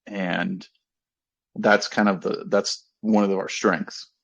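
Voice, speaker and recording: A man in his thirties talking informally to investors on a conference call as a company executive, halting and rambling as he repeats and rephrases himself. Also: lowish voice, flat delivery, gravelly. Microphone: conference call line. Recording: dull, lo-fi conference call audio.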